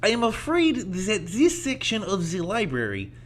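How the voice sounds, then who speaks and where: An actor playing a gently helpful, bookish older scholar in an audio play, speaking formally in measured phrases. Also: male voice, sits high, huge pitch swings, a little hiss or hum. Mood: gentle, informative.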